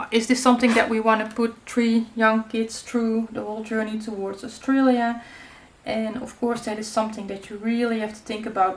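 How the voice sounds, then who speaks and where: A woman's voice: fairly high pitch, a bit bright. Chatty Dutch woman crafting in an echoey room. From Netherlands. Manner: chatty, many fillers and searching for words. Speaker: crafting channel host. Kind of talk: casual tutorial chat while crafting. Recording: echoey room with faint noise.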